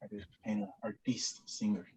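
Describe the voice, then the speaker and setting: A man's voice: fairly low pitch, flat delivery, moderate pace, darkish tone, husky, poor audio. A man in his twenties chatting casually on a livestream, reading out viewer comments and teasing his friends. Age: 20s. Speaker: concert organizer. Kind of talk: casual chat among friends.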